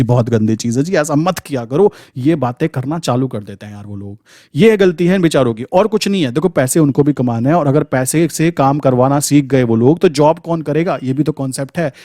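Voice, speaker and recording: A man's voice: on the high side, darkish tone, no room sound, clean studio sound. A man with an Indian accent giving an informal motivational trading lesson, speaking emphatically and colloquially with repeated phrases and rhetorical questions. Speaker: trading coach and channel host.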